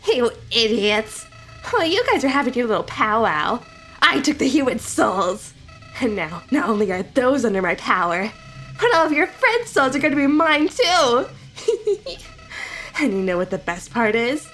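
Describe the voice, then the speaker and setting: A woman's voice: fairly high pitch, very animated, moderate pace, a bit bright, soft background music. A woman voicing a sneering, cruel talking flower who mocks and taunts, in a fan dub of a game. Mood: smug. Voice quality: mocking, taunting. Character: sneering evil flower, boyish, cruel and gleeful.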